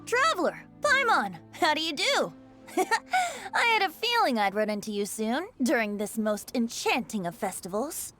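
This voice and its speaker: A woman's voice: on the high side, sing-song, moderate pace, sharp tone. A woman voicing a playful, whimsical young male bard with a theatrical, lilting delivery in an anime-style game. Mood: cheerful, playful. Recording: music behind the voice.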